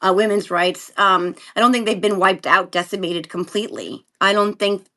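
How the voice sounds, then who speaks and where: A woman's voice: medium pitch, normal speed, a bit bright. A middle-aged American woman talking candidly as a talk show guest, emphatic and repeating phrases to drive points home. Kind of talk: candid political interview chat.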